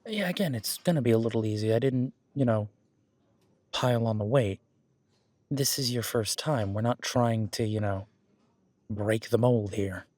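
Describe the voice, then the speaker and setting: A man's voice: mid-range voice, moderate pace, long pauses, darkish tone, dry recording, lightly acted. A man playing a friendly, patient werewolf boyfriend who coaches a workout in a casual, instructive audio roleplay. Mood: encouraging. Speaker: gym training coach in roleplay.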